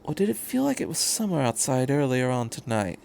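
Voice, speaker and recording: A man voicing a brisk, quirky time-travelling alien in a story reading, breezy and matter-of-fact in conversation. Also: mid-range voice, big pitch swings, creaky, darkish tone, a little hiss or hum. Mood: curious.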